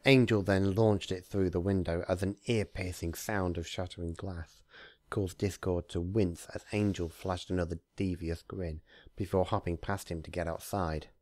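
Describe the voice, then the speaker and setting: A man reading a story aloud as narrator, in an expressive scripted storytelling style. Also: low-pitched, normal speed, no room sound, studio quality. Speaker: narrator, fan fiction reader.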